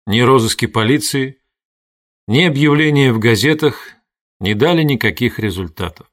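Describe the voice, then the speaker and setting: A man with a mid-pitched voice narrates literary fiction. His delivery is scripted and deliberate, set out in measured phrases as an audiobook reader would do.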